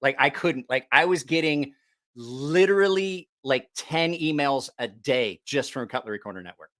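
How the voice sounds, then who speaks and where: A man's voice: mid-range voice, expressive, moderate pace, a bit bright. A man hosting a casual livestream, rambling and repeating himself, with plenty of swearing. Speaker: YouTube knife channel host.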